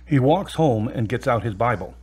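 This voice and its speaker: A man narrating an audio drama from a script. His voice is husky, at an ordinary male pitch. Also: animated, moderate pace, a little mellow.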